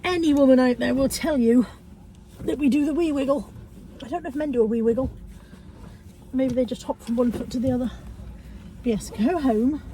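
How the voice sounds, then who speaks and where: A woman's voice: high-pitched, normal speed, pauses often, warm tone, slight background noise, poor audio. Stuffy-voiced chatty British woman vlogging. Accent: British English. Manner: chatty, tagging questions onto her remarks. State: congested, coming down with a cold. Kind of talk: casual chatty vlog. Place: in a car.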